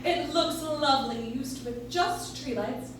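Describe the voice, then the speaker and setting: A woman's voice: sits high, slow, a little hiss or hum. A woman delivering a scripted 1940s-style radio commercial live on stage, recorded from a distance in a very echoey room. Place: live stage in a coffee shop.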